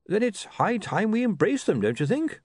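Man playing a high-pitched, dotty old bursar. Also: big pitch swings, darkish tone, slightly rough, no echo, clean studio sound, heavily acted. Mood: dotty, cheerful. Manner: halting, fussy, wandering. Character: eccentric, befuddled elderly male university bursar, cheerfully dotty.

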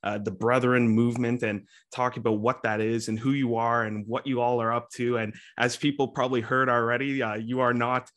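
A man's voice: medium pitch, flat delivery, brisk, hardly any pauses, a bit bright. A man hosting an interview show, friendly and upbeat, with frequent filler uhs. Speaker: podcast host.

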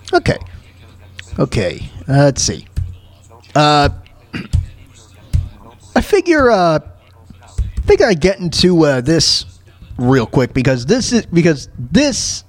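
A man's voice: fairly high pitch, huge pitch swings, slow pace, long pauses. A man hosting a talk show with casual, opinionated commentary, often stammering and repeating his phrases as he goes. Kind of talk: casual off-the-cuff radio commentary.

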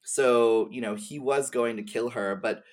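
A woman's voice: very deep voice, unhurried. Woman thinking aloud in casual chat. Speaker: podcast guest. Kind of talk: casual conversational commentary on game lore.

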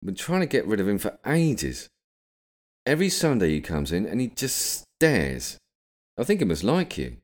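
A man's voice: lowish voice, wildly swooping pitch, moderate pace, voice pushed up. A man voicing a friendly, wry young village barmaid in a narrated story. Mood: wry, chatty.

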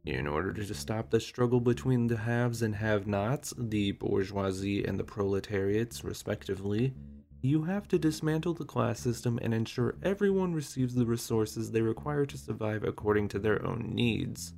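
A man narrating a scripted history explainer, mixing steady exposition with wry conversational asides. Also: lowish voice, moderate pace, vocal fry, faint music behind.

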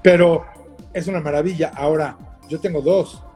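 A man's voice: fairly high pitch, steady tone, moderate pace, mellow, soft background music. A man with a Mexican accent hosting a casual chat show, teasing and joking with slang. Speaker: host.

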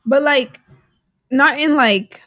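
Podcast co-host, woman, higher voice, dry home recording, animated and joking. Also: slow pace, no echo. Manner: animated, exclaims, jokes around. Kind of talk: casual chat solving riddles. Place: at home in her parents' house.

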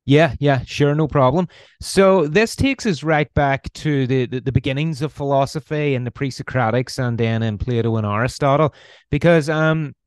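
A man in his forties with a Belfast accent talks through philosophy as an interview guest. He pauses a lot, filling the gaps with ums and restarting sentences. His voice sounds dry and close, with little room around it. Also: mid-range voice, clean tone, no room sound. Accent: Northern Irish, Belfast. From Northern Ireland. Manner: hesitant, many ums and restarts. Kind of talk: conversational explanation.

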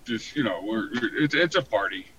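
An American man in his forties, telling his story casually in an interview with a halting, colloquial delivery full of "you know". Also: lowish voice, expressive, a bit bright, a little hiss or hum, poor audio. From San Diego, born in Hawaii. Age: 40s. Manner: halting, colloquial, frequent 'you know'. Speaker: podcast guest, fire protection inspector.

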